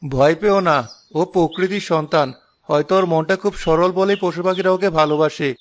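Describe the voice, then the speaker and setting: A man voicing a kind-hearted village husband and father, earnest and expressive in a cartoon drama. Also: fairly high pitch, a little fast, slight background noise, natural voice. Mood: gentle, reassuring.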